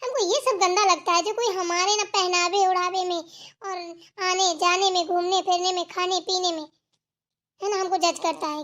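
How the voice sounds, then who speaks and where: A woman puts on a spoiled young city bride for a cartoon family drama. The voice is piercingly high and bright. She argues back with whiny, entitled defiance and expects to be served. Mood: defiant.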